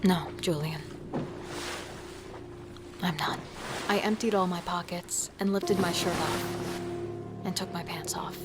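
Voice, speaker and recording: Woman playing a soft, remorseful female killer. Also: fairly low pitch, steady tone, takes it slowly, long pauses. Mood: grim resolve. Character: remorseful woman serial killer, tender and resolved to die. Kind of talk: first-person horror audio drama narration. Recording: loud music bed.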